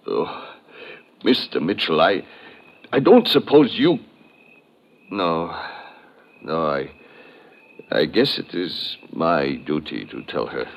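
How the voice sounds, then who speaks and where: A man's voice: very deep voice, sing-song, slow pace, gravelly, pauses often, heavily acted. A man playing an anxious, demanding fishing fleet owner in an old-time radio drama, formal and emphatic. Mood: shaken, regretful. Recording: slightly noisy old radio recording.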